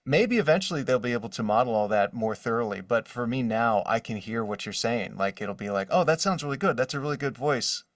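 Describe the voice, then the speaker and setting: A man talks casually as an interview guest, a working voice actor. His pitch is average and he sounds a little away from the microphone in a dry space. He is conversational and illustrates points with quoted asides. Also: expressive, a little fast, hardly any pauses, dry recording, far from the mic.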